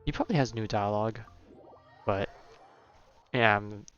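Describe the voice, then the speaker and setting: A man's voice: on the low side, moderate pace, fairly bright tone, smooth, muffled recording, faint music behind. A man giving casual, rambling, laid-back live commentary as a game streamer.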